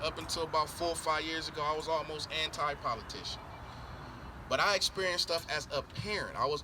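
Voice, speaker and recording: A middle-aged man from Columbus, Ohio, a school board candidate answering interview questions emphatically, repeating his points and restarting often. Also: a little high. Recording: noisy outdoor recording with traffic.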